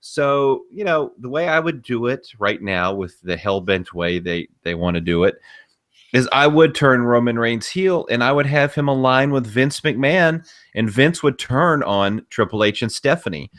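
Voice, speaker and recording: A man's voice: medium pitch, animated, moderate pace, a bit bright. A man co-hosting a podcast, giving emphatic, opinionated commentary on wrestling in a casual conversational style. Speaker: podcast co-host.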